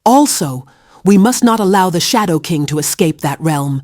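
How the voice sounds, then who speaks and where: Woman playing a grave, low-voiced heroine. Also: animated, normal speed, darkish tone, no room sound, clean studio sound, lightly acted. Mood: grave concern. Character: grave, cautious heroine versed in the occult. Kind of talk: fan-made game character voice clips.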